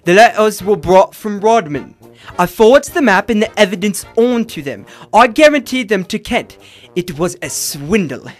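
An actor playing a cagey, defensive small-time forger with slangy, evasive talk, in old radio drama style. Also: male voice, sits high, animated, moderate pace, soft background music. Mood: flustered, confessing. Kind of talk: 1950s radio drama acting.

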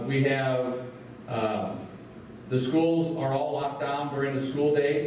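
A man speaks at a podium in a big school meeting room. His voice sits at an ordinary pitch and comes from some way off the mic. It rings with a strong echo and a faint hiss. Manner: plain, steady, many filler words. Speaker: school superintendent. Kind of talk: public meeting address. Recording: roomy echo, distant from the mic.

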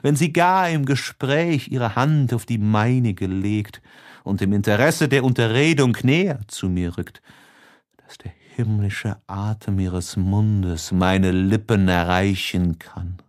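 A man's voice: fairly low pitch, slow. A man voicing a lovestruck, tormented young letter writer in an audiobook, impassioned and given to sighs. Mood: yearning, tormented. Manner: impassioned, sighing, swinging between hush and exaltation.

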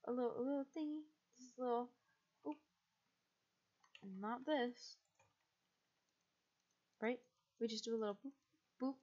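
A young woman from the United States, chatting casually and rambling as she streams a game, with a husky, quiet voice and frequent laughs. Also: a little high, dull audio.

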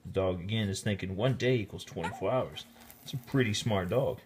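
A man reading a children's story aloud in an expressive, deliberate storytelling manner, with casual asides. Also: fairly low pitch, slight background noise. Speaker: storybook reader, teacher. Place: indoors, filming the book held in hand.

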